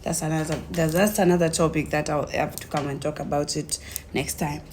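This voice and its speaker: Low-voiced Tanzanian woman telling her story. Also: brisk, slight background noise. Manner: animated retelling, acts out quoted conversations. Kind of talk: casual storytelling to camera.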